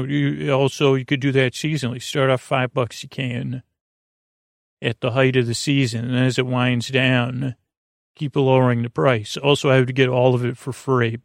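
Creaky-voiced man rambling a bedtime monologue. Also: medium pitch, no room sound, studio quality. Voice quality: creaky, gravelly. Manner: meandering, halting, often trailing off mid-thought. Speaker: podcast host. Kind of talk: rambling bedtime monologue to help listeners sleep.

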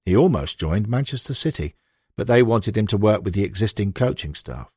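A man narrates a sports history audiobook in a lower-pitched voice. His delivery is expressive, with lively swings in intonation, and the recording is close and dry. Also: fairly quick, slightly rough, dry recording.

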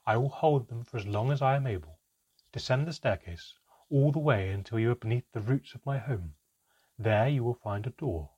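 A man's voice: mid-range voice, lively intonation, moderate pace, a little mellow, breathy edge, lo-fi sound. A man voicing a formal, urgent female elf who gives commands, in a storybook reading.

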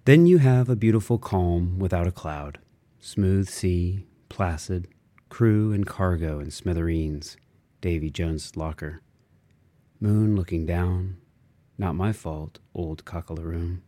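A man reading a literary novel aloud in a measured, expressive way with dramatic phrasing. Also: sits low. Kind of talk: literary audiobook narration.

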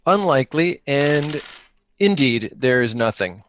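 A man's voice: mid-range voice, lively intonation, unhurried, a bit bright, a little hiss or hum. A man hosting an improvised tabletop roleplaying game in casual chat, thinking aloud and posing questions that he then answers. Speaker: host and player of an improvised solo-engine tabletop game.

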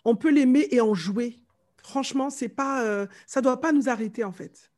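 A middle-aged woman from Martinique with a light Martinican accent, a vocal coach telling stories in a casual interview, animated and fond of mimicking voices. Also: sits high, moderate pace. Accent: light Martinican French.

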